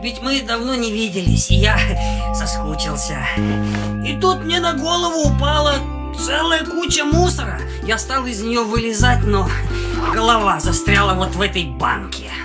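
A woman voicing a gentle, grateful hedgehog in a children's cartoon, expressive and plaintive, with music behind. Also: on the high side, normal speed. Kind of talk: animated children's cartoon dubbing.

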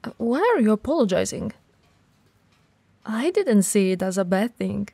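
Female performer as tall teasing shop owner, mellow husky voice, flirty, intimate ASMR roleplay, close mic. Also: mid-range voice, expressive, no echo. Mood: intimate, coaxing. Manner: soft, breathy, slow, intimate teasing. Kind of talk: ASMR romantic roleplay acting. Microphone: close mic, dry.

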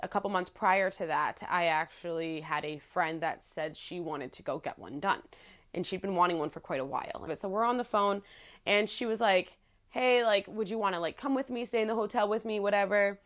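A young woman in her twenties with a low voice, telling her story candidly to the camera in a casual vlog, chatty and playful with frequent laughs. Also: moderate pace, a bit bright. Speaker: vlogger. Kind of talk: casual talking-head storytelling. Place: small living room with plants, indoors.

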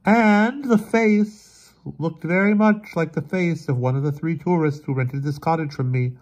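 Guy doing a worn out, urgent older brother from a storybook, pitched way up, loud and dramatic. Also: animated, moderate pace, husky, muffled recording. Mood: grave, horrified. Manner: emphatic, theatrical, exasperated. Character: weary, grave older brother, shaken and urgently insistent.

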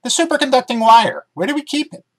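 A man voicing an eager, scheming female pet dog who argues excitedly about physics in a storybook reading. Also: very high-pitched, fast talker, pitched above the performer's own voice. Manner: excitable, argumentative.

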